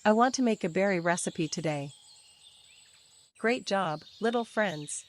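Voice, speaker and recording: A woman narrating a scripted children's bedtime story in simple, deliberate sentences. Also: medium pitch, lively intonation, a little hiss or hum. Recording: faint birdsong and nature ambience. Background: birdsong, crickets, forest ambience.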